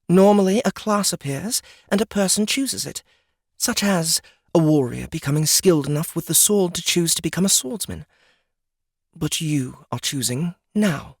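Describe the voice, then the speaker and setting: A woman playing a stern goddess of nature in an audiobook, speaking formally and deliberately, with an imperious manner. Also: lowish voice, husky, dry recording, studio quality. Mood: solemn warning. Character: stern, regal goddess of nature, solemn and commanding. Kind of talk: scripted audiobook character acting.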